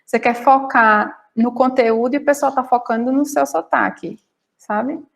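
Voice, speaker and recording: A woman's voice: on the high side, steady tone, moderate pace, gravelly. A woman with a Northeastern Brazilian accent speaking informally as a guest panelist, often searching for words as she tells her own experience. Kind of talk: casual personal account in online panel.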